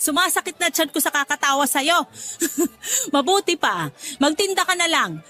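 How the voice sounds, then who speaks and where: A woman's voice: very high voice, sing-song, measured pace, sharp tone, exaggerated voice. A woman acting a teasing, affectionate street-vendor mother in a Tagalog radio drama, bantering in a Filipino accent. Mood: amused teasing.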